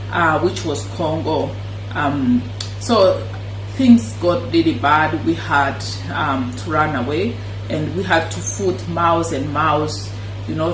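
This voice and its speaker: A woman from Burundi recounts her life as a refugee in a sincere, measured voice. Her pitch sits low for a woman, and she pauses often. The sound is roomy, with clear music playing behind her. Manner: earnest, unhurried, with frequent pauses. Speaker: interviewee sharing her refugee story. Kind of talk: personal testimony. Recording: echoey room with music behind.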